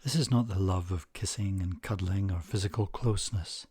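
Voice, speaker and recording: A man narrating a guided meditation in a measured way, leaving long pauses between short phrases. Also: low voice, a little mellow, slightly rough, no echo.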